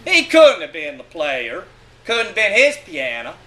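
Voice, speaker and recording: A man's voice: on the high side, huge pitch swings, a little slow, pushed and bright. A man giving a dramatic, sardonic and emphatic reading of a literary monologue. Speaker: reader of a short story.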